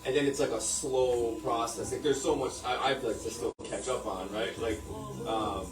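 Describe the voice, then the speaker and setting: A man's voice: mid-range voice, steady tone, moderate pace. A man guest chatting hesitantly, with many fillers and restarts. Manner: hesitant, lots of likes and ums. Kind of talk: casual chat. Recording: echoey room from far off.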